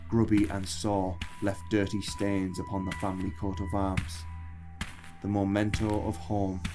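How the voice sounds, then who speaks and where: Male narrator, dark fantasy audio drama: low, flat intonation, grave measured storytelling over music.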